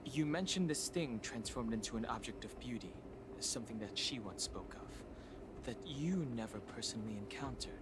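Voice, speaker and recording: A man's voice: medium pitch, expressive, very noisy, natural voice. Man voicing a composed, scholarly young adventurer. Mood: analytical, calm. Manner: measured, analytical, serious. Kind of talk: video game voice acting.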